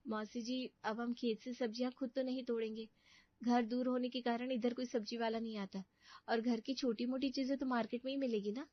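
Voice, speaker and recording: A woman's voice: a little high, level tone, brisk, no echo, highs cut off, close to an everyday voice. A woman voicing a respectful, dutiful young daughter-in-law in a family cartoon, speaking earnestly. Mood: polite. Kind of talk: Hindi family cartoon story voice acting.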